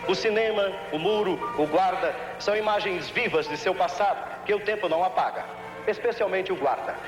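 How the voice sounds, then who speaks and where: A man presents an old television show in a theatrical, florid manner. His voice is high-pitched and echoes in the room, with prominent music behind him on the archive broadcast. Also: fairly quick. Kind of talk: solemn old-time TV presenting, scripted.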